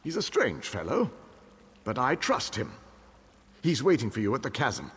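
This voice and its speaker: A man playing an earnest war ally in a fantasy video game, briefing in a grave, deliberate manner. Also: mid-range voice, huge pitch swings, a bit bright, slight background noise. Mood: serious. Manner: grave, measured, urgent briefing. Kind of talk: video game character acting. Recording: long hall-like reverb.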